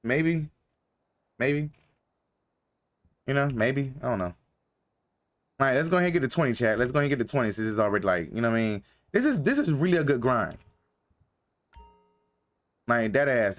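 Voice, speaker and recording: A man's voice: mid-range voice, a little fast, pauses often. Young male streamer from North Carolina; loose, filler-heavy slangy chat to viewers. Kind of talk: casual live-stream chat.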